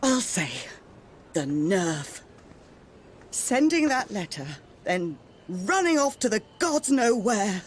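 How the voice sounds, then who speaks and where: An actress playing an indignant middle-aged mother in a fantasy video game, scolding with deliberate emphasis. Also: mid-range voice, very animated, slight background noise. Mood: indignant, worried. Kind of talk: scripted video game acting.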